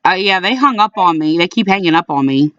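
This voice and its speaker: A man speaking informally as an aggrieved caller, in a halting, repetitive way, recording complaints about calls. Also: high-pitched, animated, fairly bright tone, no echo, recorded from a distance, dull audio. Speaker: caller recording own phone calls to a police dispatcher. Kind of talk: informal complaint to camera and on phone.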